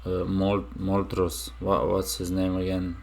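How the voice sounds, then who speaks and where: A young man from Romania talks through a reaction video in a low, gravelly voice with plenty of vocal fry. He speaks slowly and haltingly, trailing off and restarting his thoughts as he muses aloud. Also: completely flat delivery, slight background noise. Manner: musing, halting, with many fillers and restarts. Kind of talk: casual reaction commentary.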